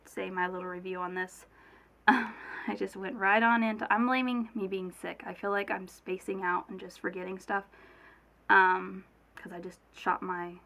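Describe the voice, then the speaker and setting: A bright-toned young woman with a cold talks loosely through a beauty unboxing, her voice a little off. She rambles, corrects herself and drifts into asides, in a relaxed, chatty way. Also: mid-range voice, creaky. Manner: chatty, rambling, many fillers and self-corrections. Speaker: beauty vlogger. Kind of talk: casual product unboxing chat.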